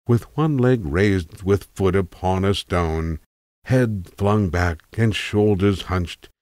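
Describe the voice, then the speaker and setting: A man narrating a literary tale in a measured, wry, precise manner, as a voice actor reading from a script. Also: sits low, darkish tone, no echo, studio quality.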